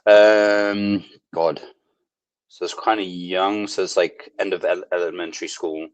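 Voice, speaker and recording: A man's voice: deep voice, fairly even intonation, unhurried, dry recording, dull audio. A man from South Africa who owns and coaches at a gym, talking casually in an interview with frequent ums and restarts. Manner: hesitant, many ums and restarts.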